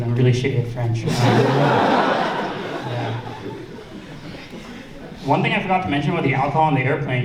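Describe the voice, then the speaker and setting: Rambling young Indian-accented comic. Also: male voice, medium pitch, a little hiss or hum. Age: young adult. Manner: loose and rambling, full of "like" and "um". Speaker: student stand-up comic. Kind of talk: stand-up comedy set. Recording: echoey room, distant mic.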